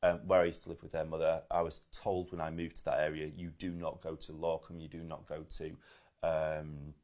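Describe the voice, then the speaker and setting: A man's voice: very deep voice, flat delivery, fairly quick, darkish tone. A middle-aged man from Leeds with a Yorkshire accent, answering informally and hesitantly in an interview. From Leeds, England. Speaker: interviewee, local father.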